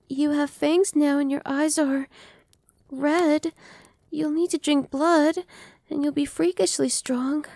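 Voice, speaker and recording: A friendly young vampire girl, played by a woman, with a high voice and a mellow, warm tone. She talks softly and reassuringly, close to the mic in a dry room, and slips into playful whispers now and then. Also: creaky, dry recording, full character voice. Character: cheerful young female vampire, newly turned, friendly. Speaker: voice actress. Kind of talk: ASMR roleplay.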